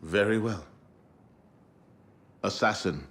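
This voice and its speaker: A man's voice: on the low side, takes it slowly, a bit bright. A man playing a stern, solemn assassin council elder in a video game, speaking with formal authority.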